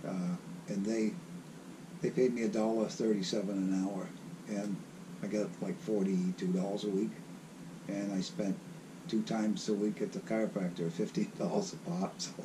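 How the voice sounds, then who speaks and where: An older man with a raspy voice, recalling his past in a halting, rambling way as the guest of an interview. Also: lowish voice, little pitch movement, noisy background. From South Carolina. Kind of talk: casual reminiscing.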